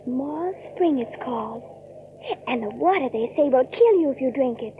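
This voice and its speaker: A woman's voice: sits high, lively intonation, normal speed. A woman playing an impressionable little colonial girl in an audio drama, with a childlike, breathless manner. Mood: curious. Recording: tinny, band-limited recording, faint noise.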